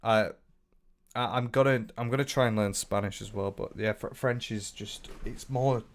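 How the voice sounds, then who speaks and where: Young northern English male streamer, lowish voice, loose rambling chat with restarts. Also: steady tone, normal speed. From north of England. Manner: rambling, frequent restarts, swears casually. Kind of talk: casual livestream chat reading comments.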